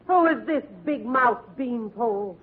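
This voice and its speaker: A woman's voice: a little high, expressive, a little slow, a faint crowd. An actress playing an overbearing, scornful middle-aged mother in a vintage radio comedy, bossy and haughty. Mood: stern, demanding. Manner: bossy, haughty, theatrical insults. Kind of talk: 1940s radio comedy acting. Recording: vintage radio, narrow band, audience and hiss.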